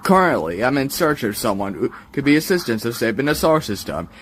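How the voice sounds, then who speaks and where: A man voicing a small, polite robot who speaks in a formal, measured and precise way. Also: mid-range voice, big pitch swings, slight background noise, lightly acted.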